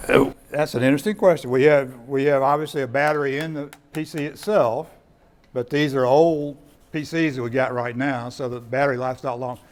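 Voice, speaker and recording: A man's voice: medium pitch, steady tone, fairly quick. A man presenting informally at a meeting, explaining in a conversational way and often repeating words as he goes. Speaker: presenter of radio kits at a club meeting. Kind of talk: informal presentation with audience questions. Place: indoor meeting room.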